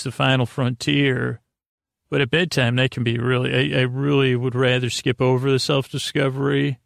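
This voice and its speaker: A man hosts a sleep podcast in a creaky voice, recorded close and dry. He rambles in a lulling way, halting, repeating himself and letting thoughts trail off. The whole thing is an unhurried, wandering bedtime monologue. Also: mid-range voice, no room sound. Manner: lulling, soothing.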